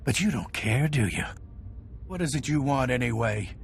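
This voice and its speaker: A man's voice: medium pitch, animated, moderate pace, gravelly, faint music behind. A man voicing a nervous, bitter, resigned prison guard in a game cutscene.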